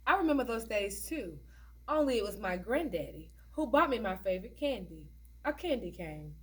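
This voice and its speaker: A woman's voice: medium pitch, sing-song, normal speed, slightly rough. A woman reading the part of a friendly, frank, chatty older lady visitor in a stage play. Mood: nostalgic. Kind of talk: stage play table read.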